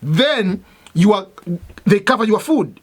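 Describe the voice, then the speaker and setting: A man who is a native Swahili speaker, a military veteran, explaining things in a steady, repetitive way with many fillers and restarts. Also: high-pitched, slow. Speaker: military veteran giving advice. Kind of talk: explanatory talk to immigrants.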